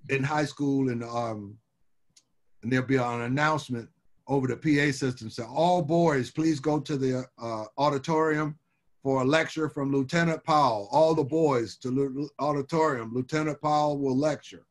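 A man's voice: medium pitch, fairly even intonation, moderate pace. An older man from Birmingham, Alabama, telling easygoing, rambling stories as a guest over a video call from home. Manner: easygoing, rambling storyteller with lots of "you know". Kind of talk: casual interview storytelling. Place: home room with wood shutters and framed pictures.

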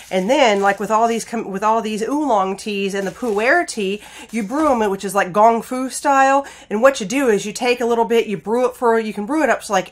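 Hoarse woman chatting to camera. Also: mid-range voice. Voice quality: chatty, rambling, with many "like" and "you know" fillers. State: hoarse, recovering from a sinus infection. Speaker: beauty blogger reviewing a tea box. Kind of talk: casual chatty product review. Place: home studio with a sparkly backdrop. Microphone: camera framing, chest-up to a fixed camera.

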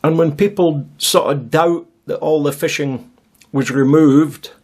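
A man talks over his own coastal footage in a relaxed, chatty voice-over, musing aloud and dropping wry little asides. His voice sits a touch high for a man. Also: measured pace, slightly rough. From Scotland. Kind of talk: casual voice-over commentary.

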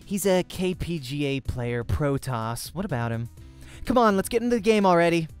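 A man's voice: fairly high pitch, animated, moderate pace, clear, resonant tone, faint music behind, close to an everyday voice. A man voicing a curt, sarcastic, competitive young woman pro gamer from a visual novel. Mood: impatient.

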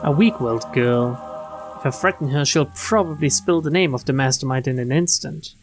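A woman reads visual novel text aloud as a streamer. Her delivery is expressive narration, following the story's inner thoughts. Game music plays behind her voice. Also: extremely low pitch, moderate pace.

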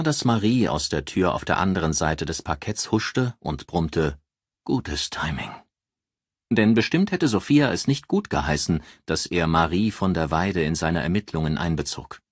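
Male audiobook narrator. Low register, lively intonation, close dry sound. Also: breathy edge, no room sound. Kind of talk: expressive scripted audiobook narration.

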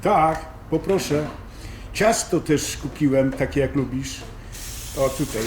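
A man's voice: fairly high pitch, slow. A man playing an easygoing, food-loving ageing musician, chatty and folksy, in an audio drama. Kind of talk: natural audio drama dialogue. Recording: echoey room with background noise.

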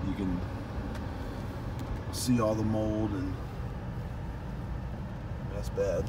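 A man's voice: lowish voice, flat delivery, drawn-out words. A young man talking casually to viewers while driving, filmed on a phone inside a car. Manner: casual, chatty, addresses viewers as guys. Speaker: pressure washing business owner. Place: inside a parked or moving car. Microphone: phone camera held at arm's length. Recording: phone recording in a car with road noise. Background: car and outdoor noise.

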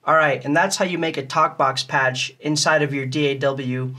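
A man's voice: on the high side, steady tone, moderate pace, very noisy. A man giving a casual, step-by-step tutorial in a plain, methodical way. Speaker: music tutorial host.

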